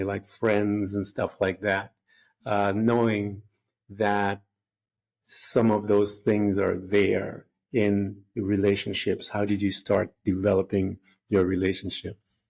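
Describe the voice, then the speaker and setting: A man's voice: lowish voice, fairly even intonation, slow pace, vocal fry, a little mellow. A man hosting an interview, asking questions and reflecting in an earnest, rambling way. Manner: earnest, rambling, fond of "if you will". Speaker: podcast host.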